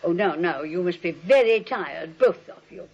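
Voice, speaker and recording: An actress playing a genteel elderly aunt, fussy and politely insistent, in 1940s radio comedy style. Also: female voice, fairly low pitch, lively intonation, normal speed, darkish tone. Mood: sweetly firm. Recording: dull old radio recording.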